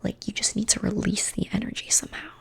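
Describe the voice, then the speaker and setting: A young woman whispering softly in an ASMR personality-quiz roleplay, slow and soothing, often repeating phrases. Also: medium pitch, lively intonation. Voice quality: breathy. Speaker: ASMR host.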